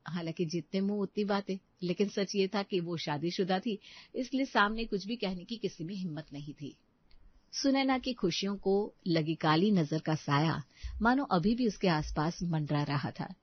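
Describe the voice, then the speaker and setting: A woman's voice: fairly low pitch, normal speed, dry recording, dull audio. A woman storyteller giving a scripted, dramatic narration of a family tale.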